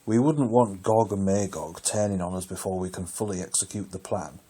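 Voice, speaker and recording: An actor playing a scheming church official in an audio drama, blunt, officious and reproachful, with a husky, dark voice. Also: male voice, deep voice, moderate pace. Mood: stern reproach.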